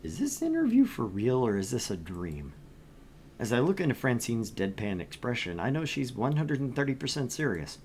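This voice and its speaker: A man's voice: medium pitch, wildly swooping pitch, normal speed, a little mellow, slight background noise. A man reading as a bemused, earnest young job seeker who narrates their thoughts in a visual novel.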